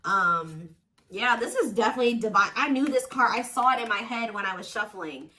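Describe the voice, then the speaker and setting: A woman's voice: fairly high pitch, lively intonation, a little fast, bright, forceful tone. A woman giving a casual, intuitive tarot reading to viewers, speaking informally and with emphatic assurance. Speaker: tarot reader, host.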